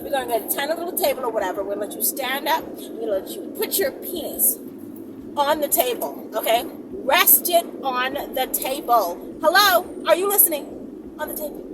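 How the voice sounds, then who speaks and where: A woman's voice: sits high, expressive, fairly quick. A woman talking casually to her viewers in an emphatic, sassy, repetitive way, rambling as she hosts her vlog. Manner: emphatic, sassy, repeats phrases and checks with "okay?". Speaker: vlog host. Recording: distant phone mic, loud vehicle noise.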